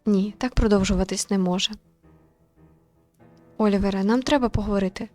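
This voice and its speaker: A woman reading a tired, reserved young working woman in a visual novel, quiet, polite and hesitant. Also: medium pitch, steady tone, a little fast, soft background music, close to an everyday voice. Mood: resolute.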